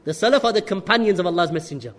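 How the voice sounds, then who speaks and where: A man preaching an earnest sermon with rhetorical questions and quick Arabic recitation. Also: high-pitched, lively intonation, big-room sound. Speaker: Islamic preacher.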